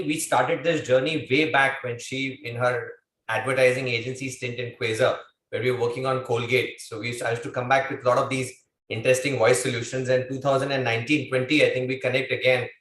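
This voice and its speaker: A man in the middle of his pitch range moderates a panel from a roomy, echoing space. A faint hiss sits under his voice, and his introductions wander as he reminisces. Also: a bit bright. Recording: echoey room with faint noise.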